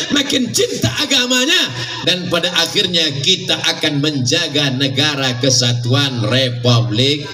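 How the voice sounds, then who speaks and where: High-voiced man rousing a crowd. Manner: rousing, calls out questions for crowd responses. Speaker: Islamic preacher. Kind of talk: sermon to a crowd. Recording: echoey live recording from far off with crowd and music.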